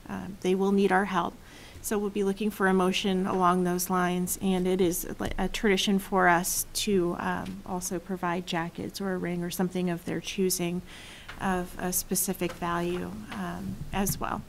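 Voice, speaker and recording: Sex: woman. Role: board chair. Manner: polite, procedural. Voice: gravelly, with a faint hiss behind it. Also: lowish voice, completely flat delivery, normal speed. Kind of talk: chairing a public meeting.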